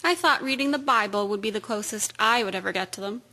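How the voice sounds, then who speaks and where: An actress playing a wry, practical army nurse in a wartime radio drama. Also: female voice, mid-range voice, fast, pushed and bright, lightly acted.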